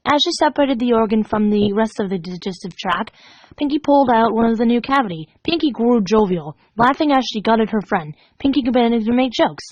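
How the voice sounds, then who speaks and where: High-pitched, expressive woman narrator. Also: brisk, no echo, dull audio. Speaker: fan fiction reader. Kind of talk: scripted storybook narration.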